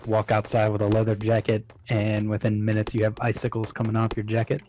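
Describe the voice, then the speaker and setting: A man from Michigan, a player on the stream, talking in a flat, matter-of-fact way. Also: fairly low pitch, fairly quick, slight background noise. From Michigan, USA.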